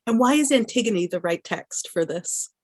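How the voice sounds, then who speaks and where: A woman's voice: medium pitch, expressive, normal speed, no echo. A woman interviewer putting short questions to guests in a podcast conversation.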